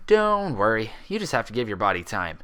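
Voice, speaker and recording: A man's voice: fairly low pitch, big pitch swings, brisk, fairly bright tone, smooth. A man voicing a kindly, casual pony doctor with a reassuring bedside manner in a story reading. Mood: soothing. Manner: easygoing, reassuring bedside chatter.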